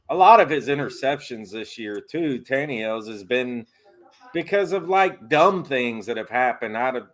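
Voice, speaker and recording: A man's voice: fairly low pitch, fairly bright tone. A man chatting as guest on a casual fan live stream, giving his opinions hesitantly with stammered starts. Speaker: guest co-host.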